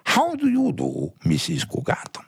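A man playing a fussy, excitable, pompous psychiatrist with a raspy voice and stammering repetitions, in 1940s radio comedy style. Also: very high-pitched, normal speed, no room sound, studio quality, heavily acted. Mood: grave, confidential. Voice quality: raspy, creaky. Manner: fussy, stammering, repeating words.

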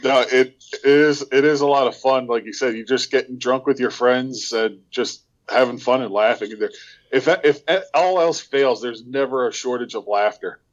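A man's voice: mid-range voice, normal speed, lo-fi sound. A man co-hosting a casual podcast, laughing easily and dropping short jokes. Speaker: podcast co-host.

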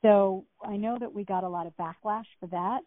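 A woman's voice: medium pitch, moderate pace, no echo. Woman guest, chatty and rambling, dry close sound, thin phone-like audio. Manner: chatty, rambling, many fillers and restarts. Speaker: actress guest. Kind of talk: casual interview talk. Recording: tinny phone-like line.

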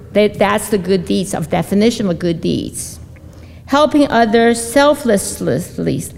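A woman reading prepared remarks at an interfaith service, measured, with small slips and restarts. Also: mid-range voice, creaky, a little mellow. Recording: long hall reverb.

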